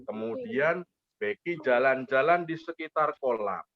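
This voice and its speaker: A man's voice: medium pitch, expressive, a little slow, muffled recording. A man telling an interactive children's story, playfully coaxing and talking straight to little kids. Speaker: volunteer parent storyteller for kindergarteners.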